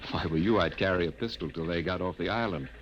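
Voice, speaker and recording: An actor playing an uneasy, humane scientist in a 1950s radio drama, who stammers and hesitates. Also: male voice, sits low, fast talker, natural voice. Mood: worried. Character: conscientious middle-aged scientist, uneasy and humane. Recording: dull old radio broadcast with noise.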